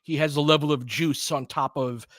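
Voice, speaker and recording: A man's voice: on the high side, fairly even intonation, moderate pace, fairly bright tone, no echo. A man hosts a live audio basketball call, mixing play-by-play with loose analysis. He is informal and openly partisan, rooting for his team. The sound is close and dry. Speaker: play-by-play commentator and host.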